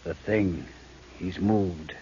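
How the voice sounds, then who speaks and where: A man's voice: low-pitched, dark tone, breathy edge, full character voice. Haunted signalman, muffled old radio. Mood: subdued, haunted. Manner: anguished, halting, repeating himself. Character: haunted, weary railway telegraph signalman, fraying with dread. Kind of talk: old-time radio horror acting.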